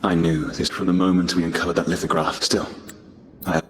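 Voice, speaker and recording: A man's voice: low voice, level tone, a little fast, a little hiss or hum, close to an everyday voice. A man voicing a grave, resigned poet in a video game, with a somber delivery. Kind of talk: video game character dialogue.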